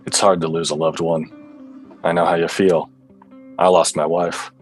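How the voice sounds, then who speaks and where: A man playing a weary, self-serious hardboiled cop in a radio play, with deadpan noir-style delivery. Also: low-pitched, a little slow, faint music behind. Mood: weary, rueful.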